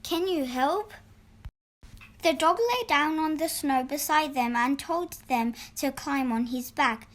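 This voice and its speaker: A woman narrating a children's storybook in an expressive, deliberate read-aloud style. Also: sits high. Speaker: storybook reader.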